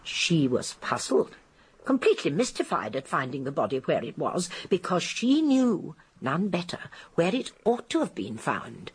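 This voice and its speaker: A woman's voice: sits low, breathy edge, heavily acted. An actress playing a shrewd, genteel elderly English spinster detective in a radio drama, precise and emphatic as she explains. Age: older. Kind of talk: British radio drama acting.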